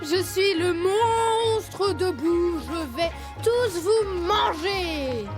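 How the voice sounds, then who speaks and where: A woman voicing an excitable, cheeky, dramatic little male tiger cub in a French cartoon dub. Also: extremely high pitch, very slow, heavily acted. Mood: playful. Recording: loud music behind.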